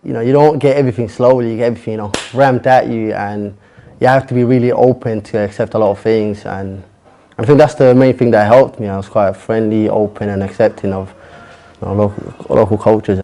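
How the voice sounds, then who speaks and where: A man in his twenties from London, an English teacher, talking in a chatty, animated way during an interview. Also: fairly low pitch, fairly quick. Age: 20s. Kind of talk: casual interview answers.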